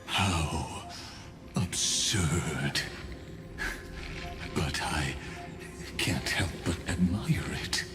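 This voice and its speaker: An actor playing a grave, aloof intellectual in a video game, speaking in slow, deliberate, halting phrases. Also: male voice, very deep voice, heavily acted. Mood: grave, musing. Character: grave, aloof male genius with ponderous, measured temper. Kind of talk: dramatic video game dialogue. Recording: echoing voice under music.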